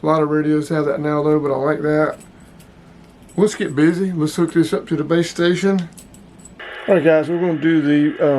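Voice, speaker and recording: A man's voice: on the high side, darkish tone, slight background noise. A man giving a casual, plain-spoken product review as the host, talking informally to his viewers. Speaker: channel host reviewing radio gear.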